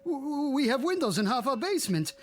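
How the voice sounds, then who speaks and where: A man's voice: very high voice, big pitch swings, fairly quick, soft background music. An actor playing a frantic, panicked suburban father in a radio drama, stammering as he talks.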